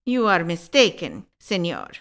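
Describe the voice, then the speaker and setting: A woman's voice: on the low side, wildly swooping pitch, a little slow, a bit bright, exaggerated voice. A woman playing a shrewd, haughty, husband-hunting governess in a classical audio farce, with mannered, archaic phrasing. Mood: scornful. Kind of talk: classical stage farce acting in an audio play.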